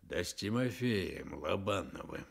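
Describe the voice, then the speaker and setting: A man playing a weary, kindly ageing timber station chief in a radio drama, gravelly, husky, folksy and rueful. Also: deep voice, animated, measured pace, full character voice. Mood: gently pleading. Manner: folksy, mildly rueful, repeating himself. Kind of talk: Soviet radio drama acting.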